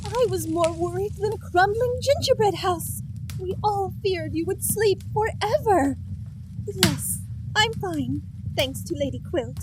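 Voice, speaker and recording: A woman's voice: very high-pitched, expressive, unhurried, noisy background. A woman voicing an earnest, sweet, anxious guardian fairy in an audio drama. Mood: relieved.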